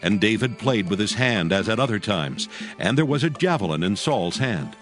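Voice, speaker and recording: A man narrating a dramatized scripture audio book from a script in a solemn, measured storytelling way. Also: lowish voice, expressive, faint music behind.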